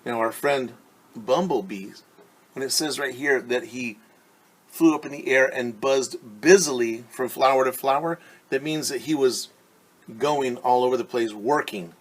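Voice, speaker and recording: A man reading a picture book aloud as a teacher, pausing to ask children questions and explain things simply. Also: mid-range voice.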